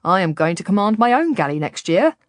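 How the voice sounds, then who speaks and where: A woman's voice: medium pitch, very animated, fairly quick, dry recording, studio quality, lightly acted. A woman voicing a cheerful, boastful male privateer captain who sells his sword, in an audiobook story. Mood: proud. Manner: cheerful, brash, unashamed. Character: cheerful, boastful English privateer mercenary, a man.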